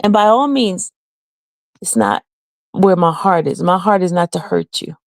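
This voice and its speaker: A woman's voice: on the low side, expressive, a little slow, no room sound. A woman hosting her own livestream channel, talking earnestly and from the heart to her viewers, now and then answering the chat. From Haiti. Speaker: channel host. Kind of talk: casual livestream talk to viewers.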